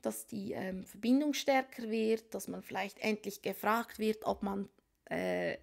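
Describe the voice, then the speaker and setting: A woman's voice: medium pitch, steady tone, a little slow, vocal fry. A woman with a cold doing a casual tarot reading for viewers, musing aloud and often dropping to whispered asides. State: has a cold. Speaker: tarot reader. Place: small room at a card table.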